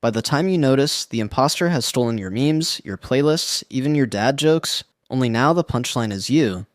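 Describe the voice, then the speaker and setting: A man giving scripted internet narration in a slangy, wry, deadpan way. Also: medium pitch, normal speed, no echo.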